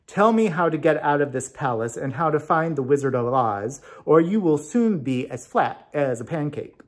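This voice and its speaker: A man's voice: fairly high pitch, animated, normal speed. A man voicing a determined, weary yet bold traveling wizard in a storybook reading. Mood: threatening.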